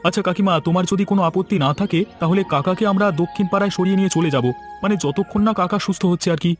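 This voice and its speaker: A man voicing a brisk, obliging village man in a cartoon, speaking in an agreeable, conversational way. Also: high voice, soft background music, lightly acted. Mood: concerned. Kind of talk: cartoon voice acting.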